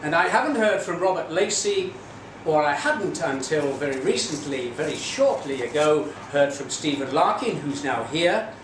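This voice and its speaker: A man with an English accent hosts a poetry show from a stage, his wry, formal phrasing ringing through an echoey theatre. He sits well back from the microphone, his voice a touch high for a man, with a steady hum of room noise behind him. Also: moderate pace. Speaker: host and poetry candidate. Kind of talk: compering a live poetry event. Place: small theatre venue. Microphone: far from the mic. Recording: echoey hall from far off, audience noise.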